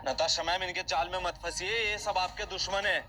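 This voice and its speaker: An agitated, loyal young henchman, voiced by a man in an audio drama. He pleads urgently in a high voice, and music plays behind him. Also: fairly even intonation, a little fast, lightly acted. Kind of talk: Hindi audio drama acting. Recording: background music bed.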